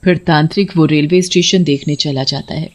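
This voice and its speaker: Mid-pitched horror-story narrator. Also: steady tone, fairly quick.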